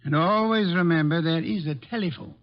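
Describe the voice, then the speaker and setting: Radio mystery role, male actor: portly genius boss, higher male voice, imperious and testy, lo-fi vintage sound. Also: fairly quick, music in the background, close to an everyday voice. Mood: gruff command. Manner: commanding, pompous. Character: irritable, very fat genius detective boss. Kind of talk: old radio detective drama acting. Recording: dull lo-fi old radio recording.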